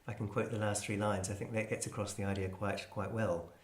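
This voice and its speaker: A man presenting an explanatory talk on classical music in a measured, hesitant, scholarly manner. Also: lowish voice, little pitch movement. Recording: roomy, echoing space.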